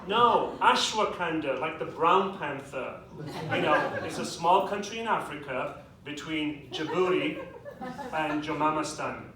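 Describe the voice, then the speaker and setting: A young man with a British accent doing a stand-up comedy set in a club with a live audience, delivering dry, self-deprecating punchlines with quick asides. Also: fairly high pitch, over a noisy crowd. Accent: British English. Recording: echoey club, distant mic, audience noise. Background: audience laughter.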